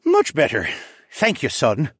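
A man's voice: a little high, wildly swooping pitch, unhurried, slightly rough, dry recording, studio quality, pitched above the performer's own voice, full character voice. Old fox demi-human dad for fantasy audiobook, man performer; raised voice, tired, genteel. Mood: relieved. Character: weary old male fox demi-human, fond father.

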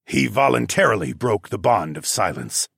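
A man's voice: fairly low pitch, very animated, gravelly, a bit bright, husky, dry recording, clean studio sound, exaggerated voice. A man doing a growling, stern wolfman leader. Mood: grave, placid. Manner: slow, cold, commanding. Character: stern, placid male grey wolfman leader, growling and implacable. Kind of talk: audiobook character voice.

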